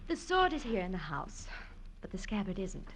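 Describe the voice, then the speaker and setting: A haughty, defiant lady crook, played by an actress, guarded but with a lively swooping delivery. It is vintage radio drama acting, with faint noise on the old recording. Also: mid-range voice, normal speed, natural voice, rough recording. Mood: cool, defiant. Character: cool, defiant female crook, shrewd bargainer.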